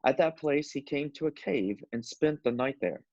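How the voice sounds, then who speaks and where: A man's voice: mid-range voice, normal speed, a little mellow, dry recording, muffled recording. A man narrating a scripture story as a scripted reading.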